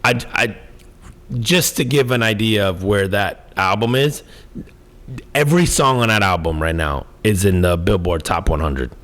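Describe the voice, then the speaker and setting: A man's voice: lowish voice, expressive, moderate pace, a bit bright. Man, podcast co-host, casual chat, enthusiastic, slangy, foul-mouthed.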